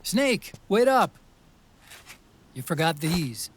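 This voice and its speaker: High-voiced, earnest loyal friend. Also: male voice, very animated, slow, a little hiss or hum. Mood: pleading, worried. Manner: earnest, pleading. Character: earnest, emotional, devoted male friend and sidekick. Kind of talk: dramatic video game cutscene acting.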